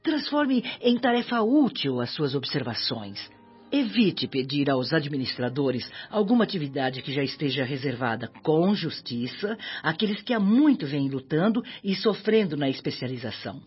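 A woman's voice: low voice, big pitch swings, normal speed, slightly rough, heavily acted. An actress playing a kindly, devout elderly mother spirit in a Brazilian radio drama, speaking Portuguese in an earnest, maternal, moralizing way. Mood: earnest, exhorting. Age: older. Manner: maternal, earnest, moralizing with gentle tag questions. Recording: dull audio with background music.

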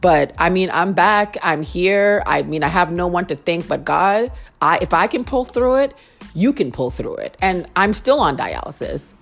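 Low-voiced young woman, earnest podcaster. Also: lively intonation, moderate pace, gravelly, faint music behind. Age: young adult. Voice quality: low-toned voice. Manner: earnest, repeats phrases for emphasis. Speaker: podcast host. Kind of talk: casual heartfelt monologue.

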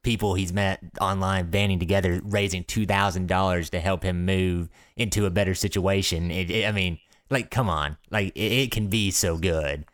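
Young male, lowish voice, close dry sound, casual podcast-style chat with stop-start phrasing. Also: fairly even intonation, moderate pace, hardly any pauses, no echo. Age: young adult. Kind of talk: casual chat among friends.

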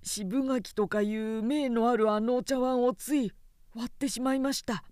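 A woman's voice: fairly high pitch, steady tone, a little slow, darkish tone, clean tone, dry recording, clean studio sound, close to an everyday voice. A woman reading as a meek, apologetic young samurai son-in-law in a story, polite, earnest and hesitant. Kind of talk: dramatic audiobook character reading.

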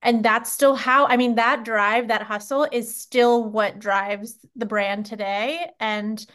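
A woman's voice: a little high, steady tone, takes it slowly, a bit bright. Upbeat woman hosting a podcast. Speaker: podcast host. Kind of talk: interview podcast.

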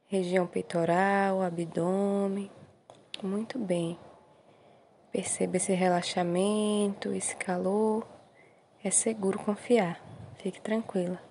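Slow, soothing Brazilian woman guiding meditation. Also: medium pitch, slight background noise. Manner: soothing, gentle, unhurried with short encouraging phrases. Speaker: meditation guide. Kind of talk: guided meditation.

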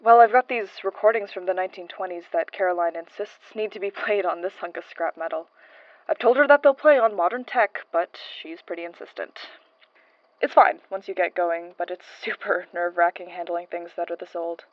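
A woman's voice: on the low side, brisk, dry recording, highs cut off, natural voice. A woman playing a nervous, wryly curious modern archivist with a hesitant manner, in a naturalistic audio drama. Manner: hesitant, slightly awkward.

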